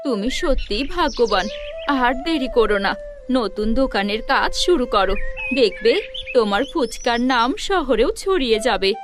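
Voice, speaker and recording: A woman's voice: fairly high pitch, lively intonation, moderate pace, over music, natural voice. A woman voicing an admiring, encouraging wife in a cartoon. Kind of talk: cartoon voice acting.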